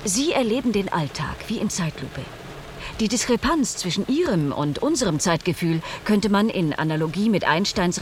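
Through clear background noise comes an actress playing a composed woman scientist in a radio play. She explains her ideas earnestly and precisely, in formal sentences. Her intonation moves widely while she keeps her measured, serious manner. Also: mid-range voice, close to an everyday voice. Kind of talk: German radio play acting.

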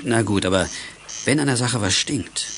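Sensible adult mentor, male, children's audio drama. Measured, medium pitch, slightly noisy vintage recording. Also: gravelly, fairly bright tone. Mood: cautious, measured. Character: sensible adult male coach looking after adventurous kids. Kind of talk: 1980s children's audio play acting.

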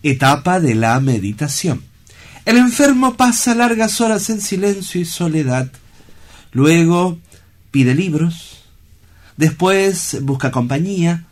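A man plays an earnest sanatorium director in a radio drama. His slightly high voice is solemn and eloquent, and he declaims like a lyrical storyteller. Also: lively intonation, takes it slowly. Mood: fervent, lyrical. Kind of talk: theatrical radio play acting.

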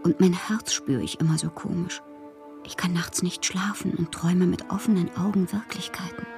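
An actress playing a passionate, eccentric bohemian poetess, reading fanciful letters in a theatrical radio drama monologue with a breathy voice. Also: female voice, lowish voice, steady tone, moderate pace, darkish tone, faint music behind. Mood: anxious, haunted. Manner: theatrical, dreamy, often slipping into breathy whispers. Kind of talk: dramatic literary monologue in a German radio play.

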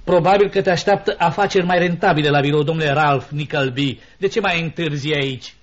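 Man playing a high-voiced, hearty gentleman benefactor. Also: natural voice. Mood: righteous, firm. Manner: hearty, courteous, assured. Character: genial, righteous, generous gentleman business owner. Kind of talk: Romanian radio theatre acting. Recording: dull lo-fi radio drama recording.

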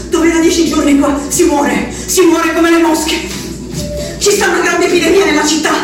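Shrill, desperate woman locked in an asylum. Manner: intense, accusing, pleading. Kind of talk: theatrical radio drama monologue. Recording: very echoey, distant, music behind.

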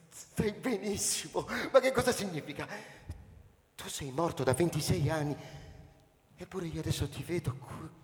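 An actor playing a stern, duty-bound, suspicious rocket captain in a radio drama, theatrical in delivery. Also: male voice, fairly high pitch, a little mellow. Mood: stunned. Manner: theatrical, halting when astonished. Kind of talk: live radio drama acting. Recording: reverberant hall, faint music behind.

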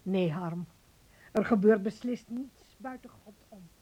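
An actress playing a gentle, wry, philosophical aunt in a Dutch radio play, musing and soothing. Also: female voice, medium pitch, slow, slight background noise, natural voice, low-quality recording.

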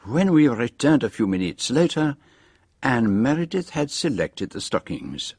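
A man's voice: medium pitch, very animated, measured pace, darkish tone, husky, full character voice. An actor playing an older male detective in a radio drama, formal and courteous, with a French-speaking European accent and polite hesitations. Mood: quietly dramatic. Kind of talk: BBC radio drama acting.

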